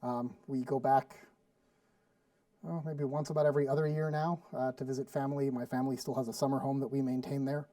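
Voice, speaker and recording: A man's voice: medium pitch, little pitch movement, fairly quick, warm tone, no room sound, far from the mic. Chatty man cooking, heard from afar. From Sweden. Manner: chatty, rambling with many fillers and restarts. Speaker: cooking show host. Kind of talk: casual cooking demonstration. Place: studio kitchen.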